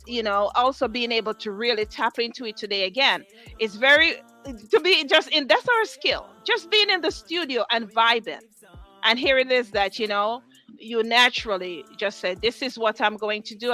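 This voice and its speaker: A woman's voice: on the high side, sharp tone, rough recording. A woman hosting a music interview show, talking to a live audience in an effusive, encouraging way and repeating phrases for emphasis. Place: music studio.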